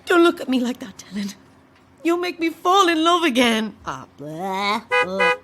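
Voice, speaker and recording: A woman's voice: on the high side, very animated, unhurried, a bit bright, faint music behind. A woman playing a flustered, self-dramatizing struggling actress who rambles theatrically to herself in an audio drama. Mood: playful, theatrical. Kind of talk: modern audio drama acting.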